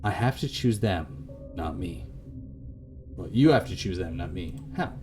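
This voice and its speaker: A man's voice: low-pitched, lively intonation, a little slow, music in the background, voice pushed down. A man voicing a confused, grieving elderly widow with failing memory in a visual novel, wistful and subdued. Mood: wistful, longing.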